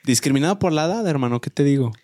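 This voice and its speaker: A man's voice: fairly high pitch, lively intonation, rapid-fire, dark tone, dry recording. Young Mexican man, dry close sound. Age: 20s. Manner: slangy, colloquial. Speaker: podcast co-host. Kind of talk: casual chat, anecdote telling.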